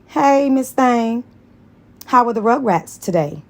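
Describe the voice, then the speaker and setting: A woman voicing a sassy, sharp-tongued young female school nurse in an audiobook, animated and slangy. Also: a little high, takes it slowly, pitched above the performer's own voice. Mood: teasing, chatty. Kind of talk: dramatized audiobook dialogue.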